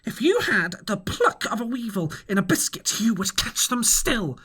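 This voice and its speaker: A man voicing a cruel, blind old male pirate beggar who shouts and curses his crew in a high, loud voice. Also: big pitch swings, normal speed, breathy edge, full character voice. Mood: furious scolding. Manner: shrill shouting, cursing and commanding.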